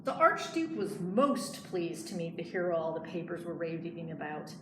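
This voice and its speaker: A woman librarian reading a picture book aloud in an expressive storytelling style. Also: fairly low pitch, moderate pace. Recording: echoey room, clear background noise.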